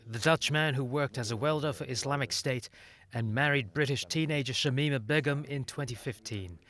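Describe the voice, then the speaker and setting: A man reading scripted news reports as an anchor. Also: medium pitch.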